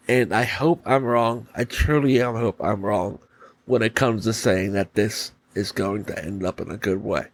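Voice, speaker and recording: A man hosting a solo podcast, giving casual opinion commentary in short, halting phrases that he often repeats. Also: medium pitch, creaky, no echo. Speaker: podcast host.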